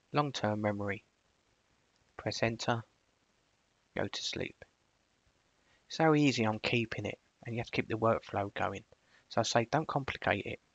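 A man presenting a screencast software tutorial, switching between casual explanation and clipped spoken commands. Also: on the low side, no room sound, muffled recording.

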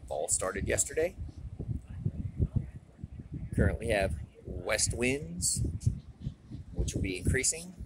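Man, low voice, matter-of-fact, clipped phrases, phone mic, heavy wind and surf noise. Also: big pitch swings, slow pace, long pauses. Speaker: fishing report host. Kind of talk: casual fishing report while filming. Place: outdoors on an ocean fishing pier. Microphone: handheld phone camera mic.

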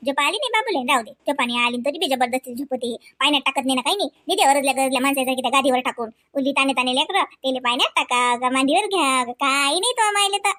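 A woman plays a homely village woman from a family cartoon, gossipy and colloquial in a rural Varhadi Marathi accent. The voice is very high and the talk runs fast, in a dry, close sound. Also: lively intonation, bright, forceful tone, no echo. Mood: fussing. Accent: Varhadi Marathi, rural Vidarbha. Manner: gossipy, colloquial, drawn-out kinship exclamations.

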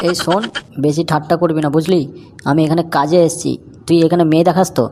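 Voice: mid-range voice, level tone, fast, warm tone, close to an everyday voice. A performer voicing a blustering, lecherous old grandpa in a comic cartoon, coarse one moment and coy about romance the next. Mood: indignant blustering. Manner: blustering, coarse, bashful when talking romance. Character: cheeky lecherous old grandpa seeking a young bride.

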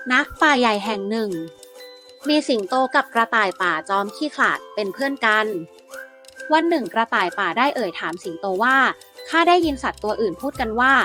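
A woman narrating Aesop-style fables for bedtime in an even, measured reading. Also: on the high side. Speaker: storyteller.

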